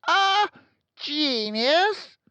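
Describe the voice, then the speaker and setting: A man's voice: very high-pitched, sing-song, drawn-out words, bright, forceful tone, dry recording, dull audio, voice pushed up, exaggerated voice. A man voicing a tyrannical, bullying headmistress who barks and bellows in fury, in a children's storybook reading. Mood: outraged. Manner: barking, bellowing, snorting contempt. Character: tyrannical, furious, bullying headmistress, a huge middle-aged woman. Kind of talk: children's audiobook character voice.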